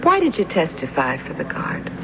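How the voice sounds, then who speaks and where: An actress playing a practical, soothing and doubting wife in a radio mystery drama, gently chiding, on an old recording. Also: female voice, sits low, huge pitch swings, normal speed, creaky, muffled recording, background noise, exaggerated voice. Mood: questioning.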